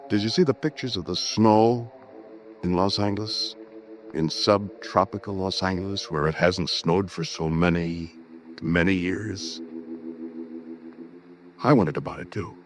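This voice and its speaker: An actor playing a shaken male scientist recounting his ordeal in a halting, conversational 1940s radio monologue. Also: deep voice, steady tone. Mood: uneasy, brooding. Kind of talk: first-person 1940s radio drama monologue. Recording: noisy vintage 1940s radio broadcast.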